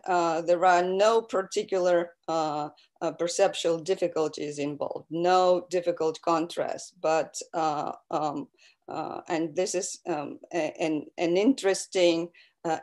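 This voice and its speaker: A woman lectures over a video call in a low voice. She speaks very slowly and fills her pauses with many ums and uhs. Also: darkish tone. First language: Russian. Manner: hesitant, many fillers.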